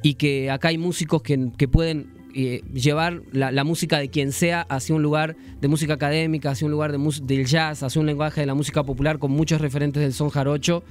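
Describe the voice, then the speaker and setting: A man's voice: a little high, level tone, fairly quick, hardly any pauses, soft background music. A man from Argentina, a musician guest on a radio show, talking reflectively and searching for words as he goes. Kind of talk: radio interview.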